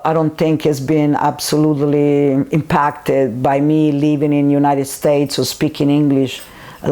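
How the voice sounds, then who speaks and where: A woman's voice: low-pitched, darkish tone. A middle-aged woman from Rome with a Roman Italian accent, a native Italian speaker talking casually in an interview in a reflective, meandering way. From Rome, Italy. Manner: reflective, meandering, often restarts phrases. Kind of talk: casual conversation.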